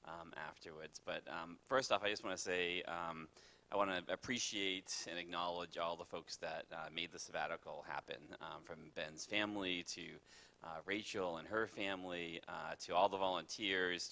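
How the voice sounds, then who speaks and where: A man's voice: sits low, steady tone, normal speed, creaky, pushed and bright. A man with a cold, sniffling, hosting an easygoing discussion in a church sanctuary on a handheld mic. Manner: easygoing, filler-heavy hosting. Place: church sanctuary with a live audience.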